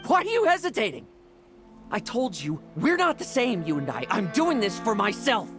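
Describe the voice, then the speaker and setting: An intense male schemer in a video game, with a high, very animated voice. He is reckless and commanding, theatrical in delivery, with music clearly underneath. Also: moderate pace, full character voice. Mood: fierce, insistent.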